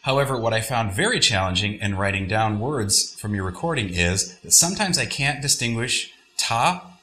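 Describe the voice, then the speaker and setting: Male co-host, low-ish bright voice, easygoing, reads listener mail. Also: normal speed. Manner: relaxed, reads letters aloud with small stumbles. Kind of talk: casual language-lesson chat.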